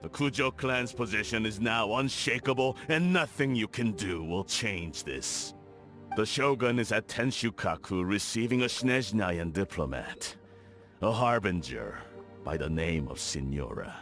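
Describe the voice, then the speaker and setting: A man voicing a scheming clan lord in a video game, arrogant, cold and dismissive in a measured dramatic delivery. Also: fairly low pitch, animated, a bit bright, soft background music.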